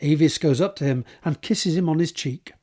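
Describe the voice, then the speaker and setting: Husky higher-pitched male narrator. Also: normal speed, warm tone. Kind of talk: scripted narration of stage directions.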